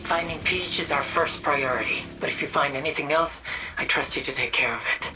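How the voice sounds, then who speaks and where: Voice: medium pitch, a little fast, background noise, close to an everyday voice. A performer playing a friendly, focused quest-giver in a video game, briefing an ally in an earnest, conversational way. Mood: informative.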